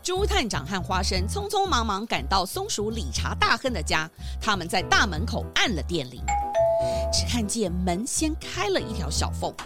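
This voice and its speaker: A woman narrating a scripted children's story in an expressive, storytelling manner. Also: mid-range voice, normal speed, over music.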